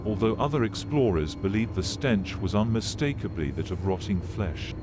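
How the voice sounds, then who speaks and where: A man reading scripted documentary-style narration in a serious, measured, formal and matter-of-fact way. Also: on the low side, expressive, background noise. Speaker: narrator of an analog horror video. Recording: played video with background music. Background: music.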